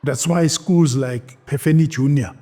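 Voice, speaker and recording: A South African man in his sixties recounting his own history in an interview, firm and deliberate. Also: fairly high pitch, warm tone. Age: 60s.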